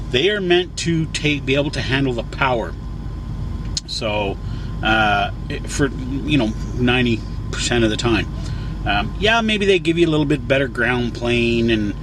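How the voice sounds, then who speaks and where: Rambling man, higher voice, vehicle noise. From Canada. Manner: rambling, folksy, repeats himself for emphasis. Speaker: truck driver and vlogger. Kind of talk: casual explanatory vlog talk. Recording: clear vehicle and outdoor noise. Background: vehicle and outside noise.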